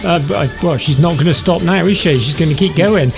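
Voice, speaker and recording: A man's voice: on the high side, fast, vocal fry, over music. A man co-hosting a radio show, chatting casually in a studio. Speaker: radio co-host.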